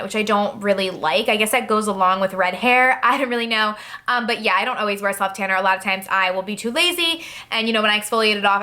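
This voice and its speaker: Young woman vlogger in her twenties, bright voice of middling pitch, loose and chatty delivery. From New Jersey, USA. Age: 20s. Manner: chatty, rambling, many fillers and "like". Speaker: beauty vlogger. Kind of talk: casual Q&A talk to camera.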